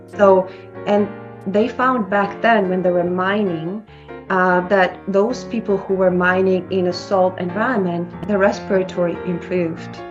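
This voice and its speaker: Woman explaining calmly. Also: on the low side, unhurried, muffled recording, music in the background. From Slovakia. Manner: explanatory, repeats words and restarts. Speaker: wellness clinic founder, guest. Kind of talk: interview answers.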